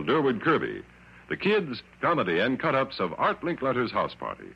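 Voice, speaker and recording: A man's voice: fairly low pitch, slightly rough. A man with an American accent reading a scripted 1960s network radio promo in a polished, showy way. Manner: polished, showy, wordy delivery. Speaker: network announcer. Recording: muffled vintage radio recording.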